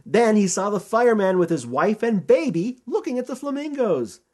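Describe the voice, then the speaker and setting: A man reading a children's storybook aloud as storyteller, with expressive, dramatic emphasis. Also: sits high, normal speed.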